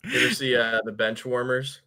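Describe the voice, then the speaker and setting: A young man co-hosting a casual podcast about action figures, excitable, laughing often and trailing off mid-sentence. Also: medium pitch, fairly quick, a little hiss or hum. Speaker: podcast co-host.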